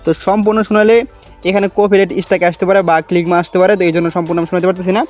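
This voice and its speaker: A man's voice: sits high, fairly even intonation, racing, a little mellow, soft background music. A man with a Bangladeshi accent hosting a casual step-by-step tutorial in colloquial, repetitive instructions. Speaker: tutorial video host.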